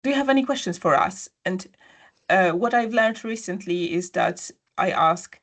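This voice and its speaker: A woman's voice: lowish voice, expressive, normal speed, fairly bright tone. A woman guest, telling a personal story in a conversational interview with many fillers. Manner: storytelling, frequent fillers and restarts.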